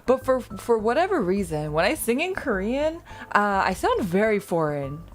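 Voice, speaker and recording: A woman's voice: medium pitch, very animated, moderate pace, faint music behind. A woman streamer chatting casually with her viewers, rambling and self-deprecating, laughing often.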